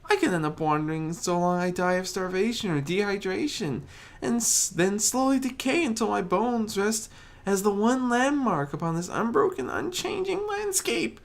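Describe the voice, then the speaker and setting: A narrator voicing a timid, gentle female pony who mutters softly and anxiously in a storybook reading. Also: medium pitch, expressive, moderate pace, gravelly, pitched above the performer's own voice. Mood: fretful worry. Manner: soft muttering, fretful.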